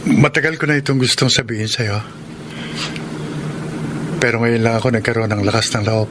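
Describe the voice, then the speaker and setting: Sincere Filipino gentleman suitor played by an actor. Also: medium pitch, very noisy, natural voice. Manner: sincere, deliberate. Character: kind, sincere older suitor confessing love to his housemaid. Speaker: radio drama actor. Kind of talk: Filipino radio drama acting.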